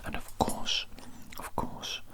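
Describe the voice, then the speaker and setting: A man voicing an evil, polite cannibal doctor in a breathy whispered ASMR roleplay, softly repeating his phrases as he savors them. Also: takes it slowly, lightly acted. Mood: civil, creepily reassuring.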